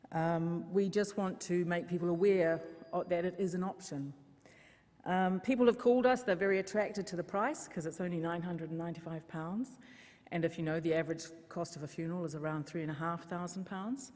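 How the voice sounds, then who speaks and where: A woman with a New Zealand accent who works for a funeral service, explaining it clearly at an open mic conference talk with light self-mockery. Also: on the low side, a little mellow. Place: church hall conference. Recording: long reverb of a large hall.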